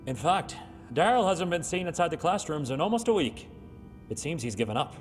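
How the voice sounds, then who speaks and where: A man's voice: a little high, very animated, a little fast. A man playing a dutiful henchman in a video game, speaking formally and deferentially as if to his master. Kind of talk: scripted video game acting. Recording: long hall reverb, music underneath.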